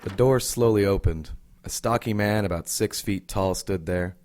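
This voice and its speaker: An actor playing an earnest, cautious young police detective, giving measured first-person narration in an audio drama. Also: male voice, sits low, noisy background, lightly acted. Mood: cautious, tense.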